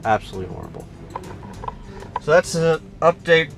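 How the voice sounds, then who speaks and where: A man's voice: a little high, expressive, moderate pace, music in the background. A man talking casually in a matter-of-fact, offhand way, giving short video-log updates as an amateur radio operator.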